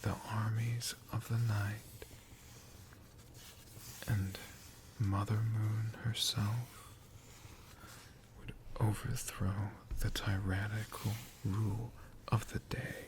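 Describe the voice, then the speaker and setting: A man telling a story in a hushed ASMR whisper, in short halting phrases with long pauses between them. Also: on the low side, flat delivery, a little hiss or hum. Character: friendly storytelling dragon.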